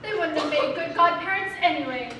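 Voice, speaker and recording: Woman acting super high voiced new mom, defensive n exasperated, stage comedy, echoey noisy room far from mic. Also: expressive, brisk. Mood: snide, dry. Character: defensive, indignant new mother hosting a dinner.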